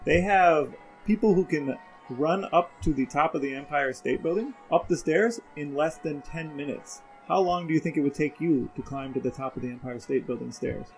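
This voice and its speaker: Middle-aged male co-host, slightly raised pitch, casual podcast chat, light music underneath. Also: moderate pace, poor audio. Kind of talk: casual chat and interview questions.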